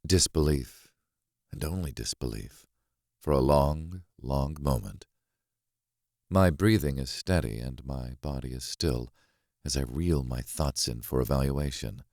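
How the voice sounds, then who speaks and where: Extremely deep-voiced male thriller narrator. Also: a little slow, darkish tone, no room sound, clean studio sound. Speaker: audiobook narrator. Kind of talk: first-person dramatic scripted narration of a thriller.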